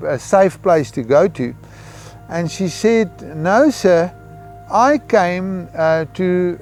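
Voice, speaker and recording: Middle-aged man, South African Afrikaans accent, slightly high voice, hesitant and reflective, personal testimony, faint music underneath. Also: a little mellow. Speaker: missionary giving a testimony. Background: background music.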